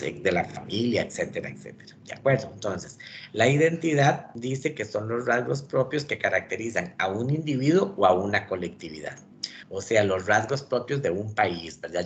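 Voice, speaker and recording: Costa Rican man tutoring, lowish voice. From Costa Rica. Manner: explanatory, frequent "¿verdad?" checks. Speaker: literature tutor. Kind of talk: online class lecture. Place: online meeting recording. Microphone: computer mic in a video call.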